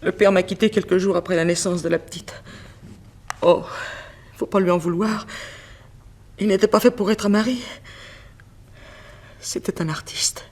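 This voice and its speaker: A woman's voice: mid-range voice, fairly even intonation, normal speed, pauses often, a little mellow, natural voice. An actress playing a grieving middle-aged mother, a former seamstress, in a plaintive, dramatic 1960s French radio mystery style. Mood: wistful, tender.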